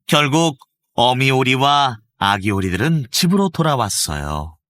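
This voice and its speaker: Expressive male storybook narrator. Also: medium pitch, measured pace, no room sound. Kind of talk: scripted storybook narration for children.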